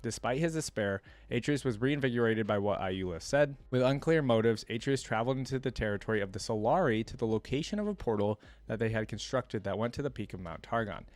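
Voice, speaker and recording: A man's voice: mid-range voice, expressive, quick pace, hardly any pauses, no room sound. A man reading aloud in the style of a streamer's reaction, with casual, offhand commentary. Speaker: streamer reacting to game lore.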